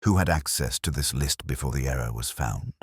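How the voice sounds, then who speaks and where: A man voicing a polite, unflappable police inspector in a storybook mystery, gentle and precise. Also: very deep voice, brisk, slightly rough, no room sound, studio quality, voice pushed down. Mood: calm, inquiring. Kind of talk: storybook character dialogue.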